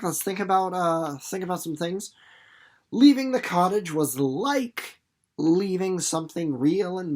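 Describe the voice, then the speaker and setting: A man reading a story aloud expressively and explaining it casually in an animated, folksy, playful teacher's manner. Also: high-pitched, creaky.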